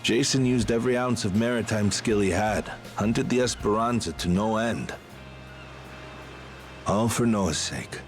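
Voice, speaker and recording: A man acting a loyal veteran seaman from a video game. His voice is low and earnest, telling things patiently like a storyteller, with music playing behind him. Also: a little slow, lightly acted. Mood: somber, earnest. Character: earnest, loyal veteran male pirate crewman.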